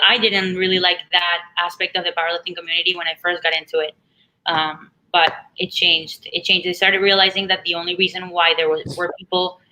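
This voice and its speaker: A woman's voice: lowish voice, sharp tone, low-quality recording. A woman whose first language is Spanish, speaking as a podcast guest, frank and matter-of-fact. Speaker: guest athlete. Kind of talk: casual interview chat.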